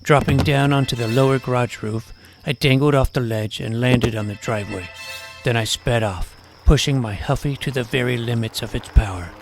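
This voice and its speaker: A man playing an earnest, self-important junior high superhero who narrates his own adventure with mock-dramatic delivery. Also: normal speed, faint music behind, natural voice. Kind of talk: scripted first-person story narration.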